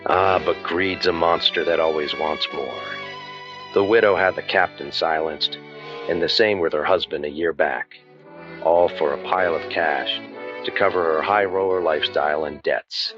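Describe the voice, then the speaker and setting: A man playing a wry, world-weary hard-boiled private detective in an old-time radio drama, with deadpan delivery. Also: rumbling low, normal speed. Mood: matter-of-fact. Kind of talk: old-time radio noir narration and acting. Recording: muffled audio with music underneath.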